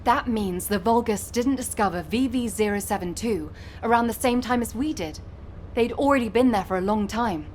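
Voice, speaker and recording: A woman voicing an earnest, methodical young military data analyst in a video game, giving a measured explanatory briefing. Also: on the high side, a little mellow, slight background noise, lightly acted.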